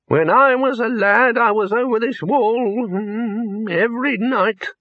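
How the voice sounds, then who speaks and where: A doddery ancient wizard, voice pitched far up and wavering, drifting into giggly memories of his youth. He talks slowly and meanders from tale to tale. The sound is dull and dry, like a plain home recording. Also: no echo, exaggerated voice. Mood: reminiscing. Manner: quavering, chuckling, wandering reminiscence. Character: very old rambling male wizard, nostalgic and doddery.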